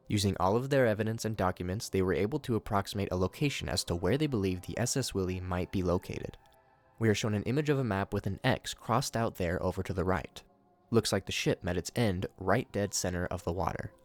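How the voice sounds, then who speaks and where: A man hosting a video, reading scripted narration mixed with his own commentary. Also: lowish voice. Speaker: video host reviewing horror shorts.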